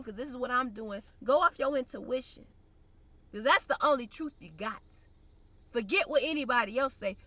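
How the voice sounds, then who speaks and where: A woman's voice: high voice, sharp tone, no room sound. A young woman vlogging in a blunt, slangy, conversational way, sounding congested and nasal. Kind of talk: casual direct-to-camera chat.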